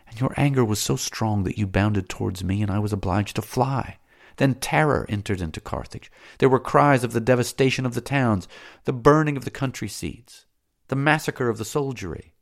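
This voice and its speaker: A man's voice: on the low side, gravelly, pitched above the performer's own voice. A man voicing a proud young priestess in an audiobook, pleading and accusing by turns. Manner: pleading, then accusing and bitter. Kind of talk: audiobook character voice.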